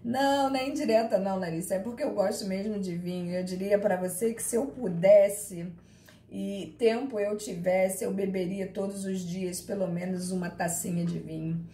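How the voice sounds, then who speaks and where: A woman from Rio de Janeiro with a Carioca accent, giving a law class online and chatting with her students in a lively, teasing way. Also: medium pitch, moderate pace. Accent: Carioca Portuguese. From Rio de Janeiro, Brazil. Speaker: law lecturer. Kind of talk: live online class, reading chat questions. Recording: echoey room with faint ambience.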